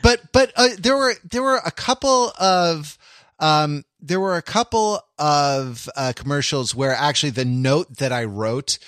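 A man hosting a podcast in casual discussion, talking in a halting, meandering way as he searches for words. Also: a little high, fairly bright tone, no echo, studio quality. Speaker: podcast host. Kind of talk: casual discussion of television and pop culture.

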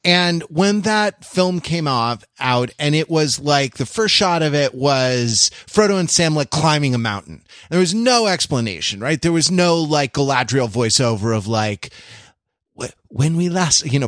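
A man with a slightly high voice co-hosts a podcast in a close, dry recording. He riffs casually and comically, wandering into digressions and mock-grand turns of phrase. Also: big pitch swings, a little slow, no echo. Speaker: podcast co-host. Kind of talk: casual comic banter and commentary.

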